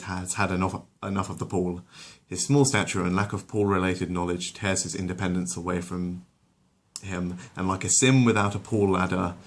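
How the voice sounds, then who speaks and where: A man reading scripted comic story narration in a wry, wordy, mock-literary way. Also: low voice.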